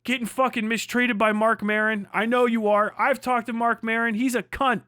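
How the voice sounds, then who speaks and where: A man's voice: extremely high pitch, little pitch movement, moderate pace, sharp tone, no room sound. A man giving casual, comedic livestream commentary, profane and emphatic, often repeating phrases for emphasis. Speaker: livestream host.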